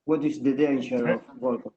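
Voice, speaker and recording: A man from Argentina with an Argentine Spanish accent, a reporter asking questions in halting English. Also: medium pitch, steady tone, dark tone, dull audio. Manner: halting, searching for English words.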